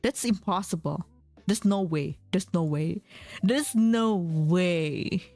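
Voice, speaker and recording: Chatty young woman streamer, soft music. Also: lowish voice, takes it slowly, no echo. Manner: chatty, many fillers and restarts, reads chat aloud. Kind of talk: casual chat with chat readers. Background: faint background music.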